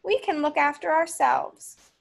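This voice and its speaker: A plucky little storybook pig, played by a woman, young and defiant. He speaks in a high, childlike voice and exclaims his lines. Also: measured pace, gravelly, full character voice. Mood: cheerful, eager.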